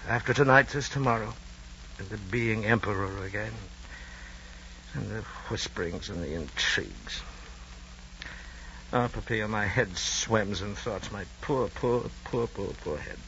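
An actor playing a decadent, moody young Roman emperor in florid, theatrical old radio drama style. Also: male voice, lowish voice, a little slow, long pauses, pushed and bright, slight background noise. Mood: weary, self-pitying. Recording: dull old radio recording, music behind.

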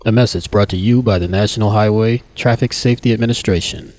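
Low, dry-sounding man reading an announcement. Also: fast, darkish tone, no room sound. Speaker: public service announcement narrator. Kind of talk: scripted public service announcement.

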